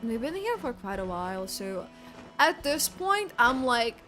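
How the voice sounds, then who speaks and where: A woman's voice: fairly high pitch, sing-song, normal speed, pushed and bright, faint music behind. A woman doing casual livestream game commentary, chatting to viewers and thinking aloud as she plays. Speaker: game streamer.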